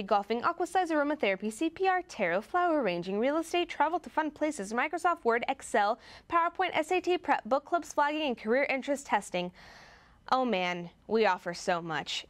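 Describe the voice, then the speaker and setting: A woman presenting a scripted promotional piece in a chirpy, gushing, tongue-in-cheek manner. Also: a little high.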